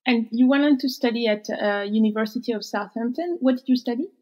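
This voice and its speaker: A woman hosting an interview podcast, presenting in a measured, clear way. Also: fairly high pitch, muffled recording. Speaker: podcast host.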